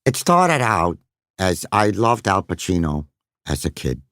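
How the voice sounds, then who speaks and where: A man's voice: deep voice, sing-song, unhurried, a bit bright, no room sound, clean studio sound. A middle-aged man, a voice actor, musing on his craft in a podcast monologue and slipping now and then into character voices.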